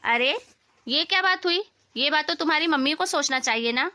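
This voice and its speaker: A woman voicing a resentful, outspoken elder daughter-in-law who complains confrontationally in an animated family story. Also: high-pitched, normal speed. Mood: angry, indignant.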